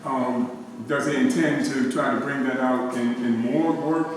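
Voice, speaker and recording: A man's voice: mid-range voice, steady tone, measured pace, a little hiss or hum. A man in the audience at a gallery talk, asking a long question with many restarts and repeated words. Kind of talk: hesitant question from the floor. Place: art gallery. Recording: echoey gallery room, far from mic.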